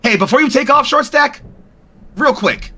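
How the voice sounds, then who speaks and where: A man's voice: lively intonation, moderate pace, bright, forceful tone, husky, heavily acted. A man voicing a panicked human woman who swears at the strangers in a tabletop game. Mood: urgent. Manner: alarmed, swearing, shrill.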